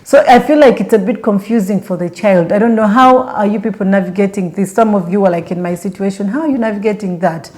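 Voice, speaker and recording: A woman's voice: medium pitch, fast, hardly any pauses, darkish tone. A young Ugandan woman with a Ugandan accent, vlogging in casual chat to camera and rambling through restarts and fillers while she asks her viewers direct questions.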